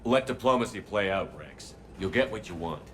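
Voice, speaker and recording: An actor playing a steady human military commander in a video game, firm, measured and diplomatic. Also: male voice, sits low, a little hiss or hum. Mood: calm, instructing.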